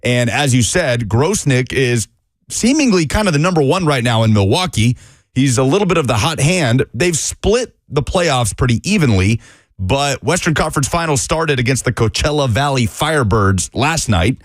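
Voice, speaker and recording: A male sports radio analyst talking into a close studio microphone. His voice sits at an ordinary middle pitch, and the sound is dry with no room to it. He is brisk and detailed and rattles off records and statistics. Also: lively intonation, dry recording.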